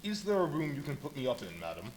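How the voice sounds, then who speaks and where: A man playing a secretive, commanding gentleman stranger in a live radio play, with clipped formal speech. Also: fairly high pitch, huge pitch swings, fast talker. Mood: curt, demanding. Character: curt, secretive, imperious gentleman stranger wrapped in bandages. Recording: live stage show, background noise.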